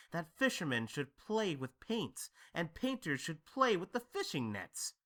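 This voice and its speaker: A humble male servant played by a man in an audio drama. He is polite, plain-spoken and comically flustered, with a bright, forceful tone and very lively pitch movement. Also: heavily acted. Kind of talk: audio drama acting of modernized Shakespeare.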